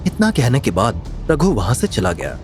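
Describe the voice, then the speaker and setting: A man's voice: medium pitch, wildly swooping pitch, moderate pace, a little mellow, soft background music. A man narrating a scripted audio drama in a dramatic storytelling style.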